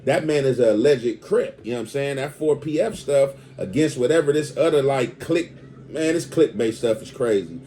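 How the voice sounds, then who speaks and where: A male online commentator with an American accent talks in a casual, unscripted way. His phrasing is slangy and conversational, and he keeps checking that the listener follows. His voice sits at a middle pitch. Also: expressive, normal speed, warm tone. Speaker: online video commentator and host.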